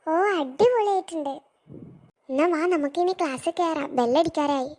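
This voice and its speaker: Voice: high voice, moderate pace, darkish tone. A performer voicing a friendly, polite young cartoon schoolgirl, a loyal best friend, in a childish manner. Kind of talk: scripted cartoon dialogue.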